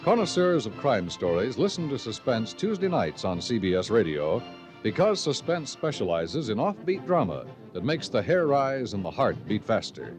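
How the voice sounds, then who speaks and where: Animated American radio announcer over music. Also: male voice, mid-range voice, moderate pace. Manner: polished, dramatic.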